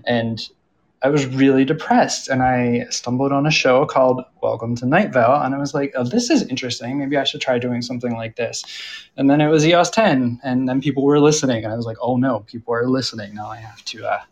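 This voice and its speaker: A man's voice: mid-range voice, brisk. Casual, self-deprecating man moderating a panel. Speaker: panel moderator, audio drama creator. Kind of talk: casual live panel discussion.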